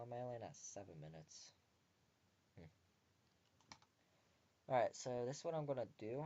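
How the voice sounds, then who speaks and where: A man's voice: medium pitch, a little mellow, highs cut off. A young man reading a visual novel aloud as a let's player, expressively, with casual wry asides. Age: young adult.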